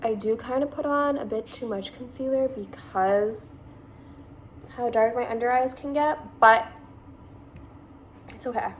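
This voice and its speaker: A woman's voice: fairly high pitch, measured pace, long pauses, a little hiss or hum. Chatty young woman, Valley-girl lilt. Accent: Californian. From Massachusetts, USA. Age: 20s. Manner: chatty and rambling, lots of "like". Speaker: beauty vlogger. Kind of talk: casual makeup tutorial.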